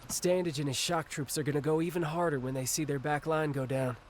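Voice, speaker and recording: A man's voice: on the high side, fairly even intonation, a little fast, close to an everyday voice. Man as a methodical strategist, faint ambient noise. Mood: composed, serious. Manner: precise, matter-of-fact. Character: composed, methodical male military strategist ally. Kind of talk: video game dramatic dialogue.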